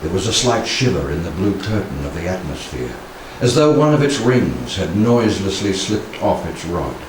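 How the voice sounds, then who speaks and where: A man in his sixties reciting poetry solemnly, with measured, dramatic phrasing and pauses, into a handheld microphone in a room. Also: low-pitched, very animated. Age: 60s. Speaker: poetry reader. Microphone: handheld stage microphone. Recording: very echoey room, distant, faint noise.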